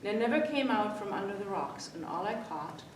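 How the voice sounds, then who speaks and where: Female children's storyteller, expressive read-aloud, heard at a distance in a very reverberant room. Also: a little fast. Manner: expressive, acts out the dialogue. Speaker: library story-time reader. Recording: echoey room, distant mic, faint rumbly noise.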